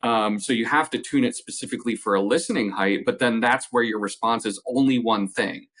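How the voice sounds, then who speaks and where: A man giving a conversational, measured technical explanation as a product expert in an interview, restating points for emphasis. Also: lowish voice, fairly bright tone. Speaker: company representative, audio product expert.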